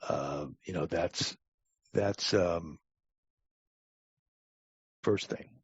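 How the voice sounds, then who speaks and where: An older man with an American accent giving reflective interview answers as a guest, halting and repeating words as his thoughts form. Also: sits low, breathy edge, no echo, dull audio. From Long Island, United States. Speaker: podcast guest, talent acquisition advisor.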